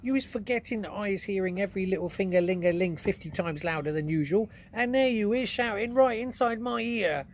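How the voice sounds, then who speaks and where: A man voicing a big, gentle male giant who mangles his grammar and invents words, in a storybook reading. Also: a little fast, hardly any pauses, slight background noise, natural voice. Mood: pained. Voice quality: booming, mangles words playfully. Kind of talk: audiobook character voice.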